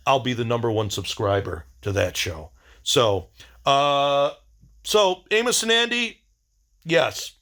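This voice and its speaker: A man hosting a casual video diary, rambling in a blunt, wry way and often halting to search for words. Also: medium pitch, sharp tone.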